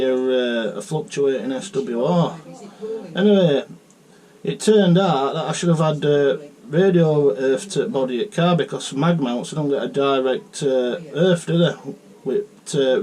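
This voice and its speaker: A man with a South Yorkshire accent chatting casually and haltingly as a radio operator, full of technical jargon. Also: medium pitch, dark tone, rough recording.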